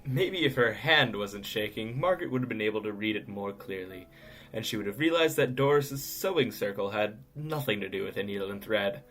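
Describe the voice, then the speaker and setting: Wry male narrator over soft music. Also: on the low side, animated, a little fast. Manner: wry, knowing storytelling. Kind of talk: scripted audio drama narration. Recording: music behind the voice.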